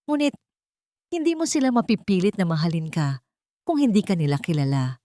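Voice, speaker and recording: A Filipino-accented woman playing a wronged mother who is firm, forgiving and gentle with her children, speaking in a measured, solemn way. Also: on the low side, very animated. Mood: stern. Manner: measured, solemn, soft-spoken.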